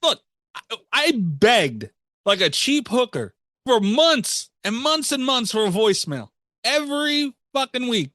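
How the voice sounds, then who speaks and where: A man's voice: very high-pitched, big pitch swings, a little slow, pushed and bright, no echo, clean studio sound. A man hosting a podcast in casual banter, joking with profane exasperation. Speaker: podcast host.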